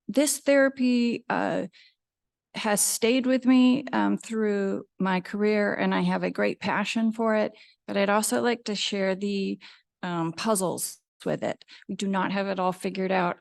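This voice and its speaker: A woman in her fifties lectures at a medium pitch with a slight gravelly fry. She explains things in a measured way, pausing on frequent ums. The sound is dry and close. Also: steady tone, no room sound. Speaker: dermatologist giving a lecture. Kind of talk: lecture presentation. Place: lecture hall with live audience.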